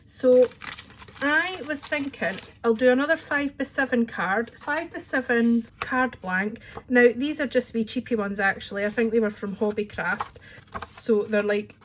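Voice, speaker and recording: A woman's voice: on the high side, normal speed, slight background noise. A Scottish woman chatting casually through a card-making tutorial at her craft desk, with a friendly, rambling manner. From Scotland. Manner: chatty, friendly, says "wee" often.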